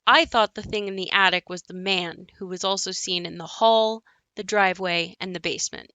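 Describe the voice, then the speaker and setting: An actress as a wry young woman narrating a stage-style audio drama about her haunted house. Her voice is bright and forward, set at a middling pitch. The recording is close and dry, and she tells it conversationally. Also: fairly even intonation, moderate pace, no echo, dull audio. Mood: wry, reflective. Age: young adult. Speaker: narrator character in an audio play. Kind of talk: scripted dramatic monologue.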